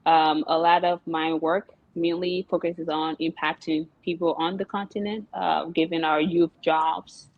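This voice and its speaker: A woman's voice: low voice, level tone, measured pace, few pauses, no echo, lo-fi sound. A young woman from Liberia, a guest entrepreneur in an interview, talking casually with many fillers and laughs. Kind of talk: interview chat.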